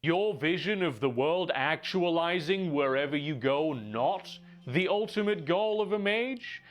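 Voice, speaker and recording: A man reading a scripted lore narration in a wry, dramatic storytelling manner. His pitch sits a little high and swings widely, giving every line an animated, sing-song lift. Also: measured pace.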